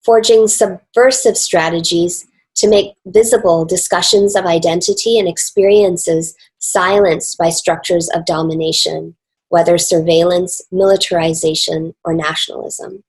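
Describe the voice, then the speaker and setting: A woman with a North American accent reads a scripted academic talk in a formal, measured and deliberate way. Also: on the low side, steady tone, a little mellow, low-quality recording. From Canada and the United States. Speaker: academic speaker, author and dance researcher.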